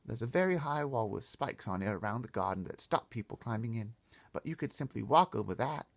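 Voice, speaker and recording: A man's voice: medium pitch, lively intonation, fairly quick, gravelly, pitched above the performer's own voice. A man voicing a bossy, eager little girl in a storybook read-aloud, explaining things emphatically and confidently.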